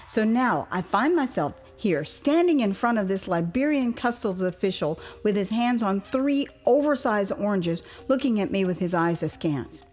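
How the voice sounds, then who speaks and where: An American woman performs her own memoir as an audio drama. Her voice sits at a middle pitch, and her delivery is theatrical and expressive, like a practised storyteller. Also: moderate pace, hardly any pauses, soft background music. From United States, Houston. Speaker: author and storyteller.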